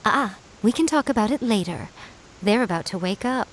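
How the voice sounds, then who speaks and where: A woman's voice: mid-range voice, expressive, moderate pace, a little hiss or hum, natural voice, rough recording. A woman voicing a sly female fox-spirit shrine priestess in a video game. She speaks in a composed, teasing way and likes to lecture lightly. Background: game music.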